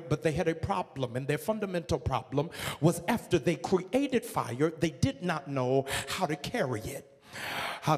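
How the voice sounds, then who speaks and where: A middle-aged man preaching a sermon to a congregation on a handheld mic in a large church, moving from measured teaching into sung, shouted preaching. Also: high-pitched. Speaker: pastor. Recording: long reverb of a church hall.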